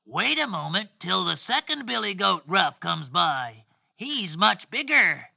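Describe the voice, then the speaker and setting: Man acting littlest storybook billy goat, tiny high voice, timid, pleading, bright. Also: big pitch swings, measured pace, vocal fry, no room sound, clean studio sound, exaggerated voice. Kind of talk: children's storybook character voice.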